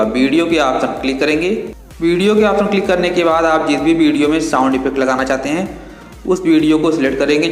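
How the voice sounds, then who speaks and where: Male tutorial host, slightly high voice, methodical step-by-step instruction, very roomy echo. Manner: instructional, repetitive step-by-step phrasing. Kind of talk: step-by-step app tutorial narration. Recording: echoey reverberant voice-over with music.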